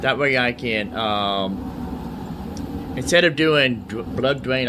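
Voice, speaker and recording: A man giving casual let's play commentary, thinking aloud in an unhurried way and repeating himself. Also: medium pitch, noisy background. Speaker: gaming streamer playing and commenting.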